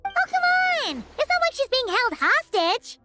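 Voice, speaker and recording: A woman voicing a tiny, childlike, excitable and easily indignant companion girl in an animated video game. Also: very high-pitched, unhurried, loud music behind the voice, heavily acted. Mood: surprised. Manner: refers to self in third person, exclaims.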